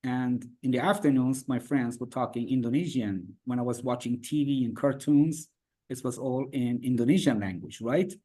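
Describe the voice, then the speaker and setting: A man from Iran whose first language is Farsi, answering questions as an interview guest over a video call. His English is halting and full of fillers and restarts. His voice sits at an ordinary middle pitch. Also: dark tone.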